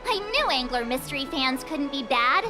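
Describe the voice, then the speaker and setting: A woman voice acting a curious, expressive young girl in a video game, exclamatory and inquisitive. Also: sits high, moderate pace. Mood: wry, relieved. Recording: loud music behind.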